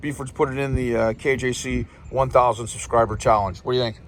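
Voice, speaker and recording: A man's voice: medium pitch, fairly even intonation, fairly quick, a little hiss or hum. An American man hosting a casual vlog, chatting in a playful, teasing way. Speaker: host of the channel.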